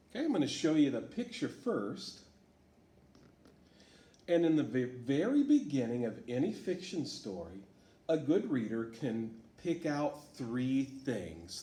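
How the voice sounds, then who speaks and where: A man reading a storybook aloud to children as a teacher, asking them questions in an encouraging way. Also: mid-range voice. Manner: slow, lively, encouraging teacher talk. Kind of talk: read-aloud lesson for children. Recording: echoey room.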